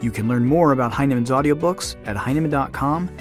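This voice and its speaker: A man's voice: medium pitch, fast talker. A man hosting a podcast, delivering scripted narration to the listener. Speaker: podcast host. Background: music.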